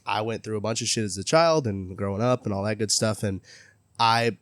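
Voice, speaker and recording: A man's voice: fairly low pitch, brisk, fairly bright tone, no echo. A man hosting a casual interview, earnest and friendly, talking openly about his life. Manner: earnest, friendly, self-promoting. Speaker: interviewer, gaming content creator.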